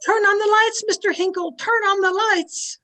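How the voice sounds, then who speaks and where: A woman's voice: very high-pitched, fairly even intonation, normal speed, pushed and bright. A woman playing a pompous, bossy society wife who fancies herself a medium, in theatrical old-time radio style. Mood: agitated, commanding. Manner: theatrical, imperious, grandly emphatic. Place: remote recording over a video call.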